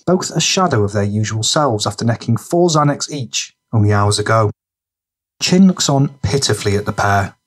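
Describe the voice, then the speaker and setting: A man reading scripted narration for a gritty crime fiction audiobook. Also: mid-range voice.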